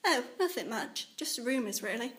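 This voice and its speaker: A woman's voice: a little high, very animated, moderate pace, a bit bright. A woman voicing a persistent, upbeat young girl sea creature in a children's audiobook, with a light, casual delivery. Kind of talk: children's audiobook character acting.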